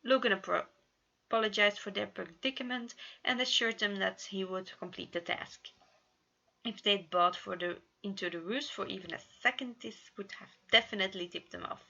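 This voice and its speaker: A woman narrates a story in a bright, forward voice at a middle pitch. She reads expressively, like an amateur, with the odd stumble. The recording is slightly dull and lo-fi. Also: normal speed, creaky. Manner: expressive, with occasional stumbles over words. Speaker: fan fiction narrator.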